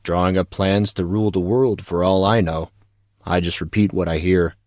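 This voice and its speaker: Man playing a deep-voiced flippant teenage schoolboy. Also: steady tone, normal speed, a little mellow, no echo, clean studio sound, close to an everyday voice. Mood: flippant, casual. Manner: casual, slangy, flippant. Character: laid-back, gossipy, wisecracking teenage schoolboy. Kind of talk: audio drama acting.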